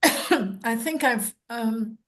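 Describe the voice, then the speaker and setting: An older woman speaking on a roundtable panel, hoarse and croaky from a lingering cold, thoughtful and unhurried with many pauses and ums. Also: fairly high pitch, background noise. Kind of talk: academic roundtable talk.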